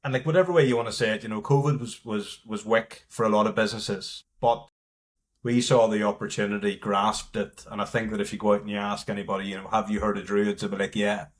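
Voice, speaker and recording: A man's voice: on the low side, steady tone, quick pace. A man with a Northern Irish accent talking frankly and informally as a guest in a casual interview. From north coast of Northern Ireland. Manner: informal, frank, peppered with "you know" and "like". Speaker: company partner and marketing lead, podcast guest.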